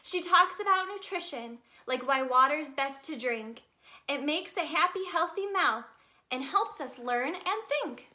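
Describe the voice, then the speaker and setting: A woman reading a children's story aloud in an expressive, sing-song way for young listeners. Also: sits high, a little slow. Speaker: children's storybook reader, in a tooth fairy persona.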